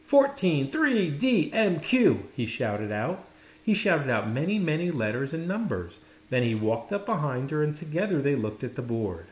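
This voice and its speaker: A man narrating a children's storybook expressively, with dramatic, deliberate phrasing and pauses. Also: medium pitch, big pitch swings. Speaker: storybook reader. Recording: roomy, echoing indoor sound.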